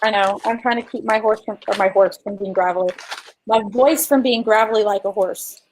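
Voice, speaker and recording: A woman's voice: mid-range voice, fairly even intonation, a little fast, poor audio. A woman co-hosting a casual business coaching chat, talking informally and emphatically and explaining with examples. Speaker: co-host and marketing coach.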